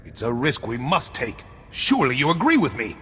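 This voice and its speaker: An actor playing a hawkish, urgent army major in a video game. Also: male voice, medium pitch, huge pitch swings, moderate pace, fairly bright tone, in a large hall, a little hiss or hum. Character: forceful, hawkish army major pressing to attack.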